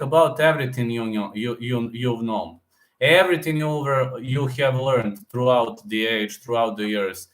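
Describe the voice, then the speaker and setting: A man's voice: mid-range voice, a bit bright. A man chatting casually on a live stream, rambling and often repeating words as he restarts sentences. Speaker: MMA fighter and betting-picks streamer. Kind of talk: casual live-stream chat reading comments. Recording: echoey room.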